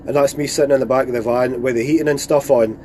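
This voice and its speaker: A man's voice: medium pitch, fairly even intonation, fast, a little mellow, slight background noise. A man with a Scottish accent chatting casually over CB radio in informal operator phrases, speaking from inside a van. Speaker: radio net operator filming a live stream. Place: inside a parked van outdoors in the countryside.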